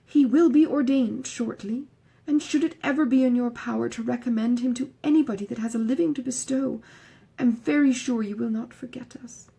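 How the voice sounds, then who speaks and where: Female narrator as a young woman letter writer, earnest, ingratiating, self-satisfied, audiobook, grainy husky voice. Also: fairly high pitch, steady tone, fairly quick, mellow, pitched above the performer's own voice.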